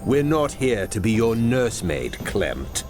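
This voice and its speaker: A man's voice: medium pitch, sing-song, a little slow, darkish tone, faint music behind. A man voicing a blunt, cold male witch hunter in a video game, curt and dismissive. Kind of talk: video game cutscene voice acting.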